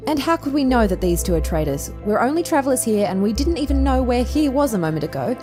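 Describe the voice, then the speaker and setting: A woman acting a confident young student of time travel, composed and formal in her wording. Her pitch moves around in a lively way, and music plays beneath her in this fan audio drama. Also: fast. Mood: indignant. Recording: music underneath.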